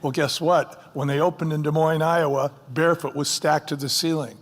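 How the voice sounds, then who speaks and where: A man with a somewhat high voice talks emphatically from a conference stage, driving his points home with punchy rhetorical questions. His voice carries a long hall reverb. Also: steady tone, normal speed. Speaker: company co-founder on stage. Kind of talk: conference fireside chat.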